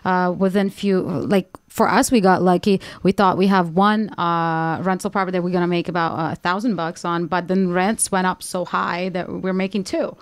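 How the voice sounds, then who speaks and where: A woman in her thirties chiming in matter-of-factly during a casual podcast chat, recorded on a boom mic in a small studio. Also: steady tone, moderate pace, hardly any pauses, clean tone, no echo. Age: 30s. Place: small studio with brick wall. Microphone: boom mic on arm.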